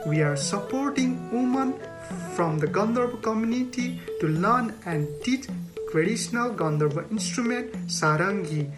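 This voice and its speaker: A woman from Nepal giving a scripted presentation, reading prepared text in a measured, formal way. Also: medium pitch, expressive. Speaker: community organisation co-founder. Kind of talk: scripted presentation in a recorded video. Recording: plucked string music behind the voice.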